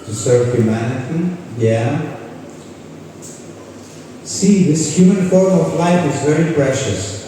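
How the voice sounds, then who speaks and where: A man's voice: on the high side, slow, pauses often, warm tone, slight background noise. A man from Croatia, giving an informal spiritual talk and putting questions to a live audience. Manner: conversational, poses questions to the audience. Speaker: kirtan leader and spiritual speaker. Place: large indoor hall with a live audience. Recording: echoey hall, voice far from the mic.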